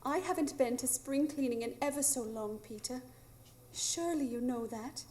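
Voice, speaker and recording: A wistful grown mother played by a woman actor, with a very high voice. She is caring and earnest, in live radio drama style. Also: moderate pace, darkish tone, lightly acted. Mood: pleading. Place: improv theatre stage, live audience.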